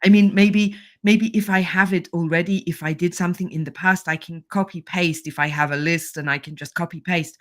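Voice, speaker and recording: A woman's voice: on the low side, fairly even intonation, a little fast, few pauses. A woman hosting an interview podcast, thinking aloud and building her questions step by step in a conversational way.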